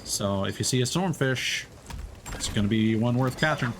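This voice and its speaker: A man's voice: medium pitch, normal speed, noisy background. A man casually commenting on his gameplay as a streamer, chatting through tips with many fillers.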